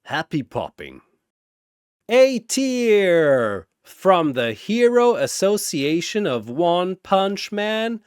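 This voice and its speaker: A male narrator delivers scripted story narration with theatrical drama. His pitch swings widely, the pace is very deliberate, and the sound is dry and close. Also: smooth, dry recording.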